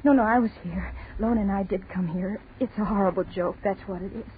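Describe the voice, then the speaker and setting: A woman's voice: medium pitch, brisk, natural voice. An actress playing a terrified, hysterical young woman, breathless and pleading, in a 1940s radio horror drama. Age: young adult. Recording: muffled, noisy 1940s radio recording.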